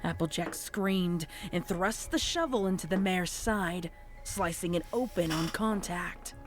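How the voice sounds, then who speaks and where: A woman's voice: on the low side, unhurried, soft background music. A woman reading a scripted horror story as a dramatic narrator.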